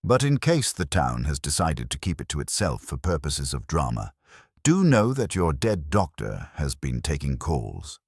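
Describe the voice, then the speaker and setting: A brisk woman councillor in a storybook mystery, played by a deep-voiced male narrator. The figure is crisp, formal and sure of the right to be heard. The intonation is lively and the sound is dry. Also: no room sound, exaggerated voice. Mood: crisp, indignant. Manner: crisp, self-assured, formal. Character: brisk, indignant, civic-minded woman town councillor.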